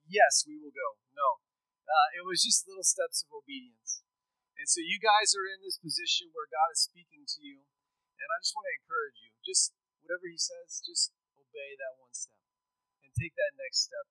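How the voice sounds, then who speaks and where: A man in his thirties preaches in a relaxed, conversational way and keeps turning to his listeners with questions. His voice sits high and bright. The sound is close and dry. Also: no room sound, rough recording. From rural Texas. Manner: conversational, storytelling, asks the audience questions. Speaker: missionary speaker. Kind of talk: casual sermon to a young audience.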